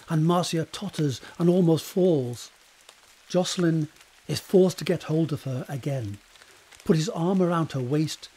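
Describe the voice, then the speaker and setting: A man's voice: fairly high pitch, a little mellow, husky. A man narrating scene directions for a period romance audio drama in a scripted present-tense style.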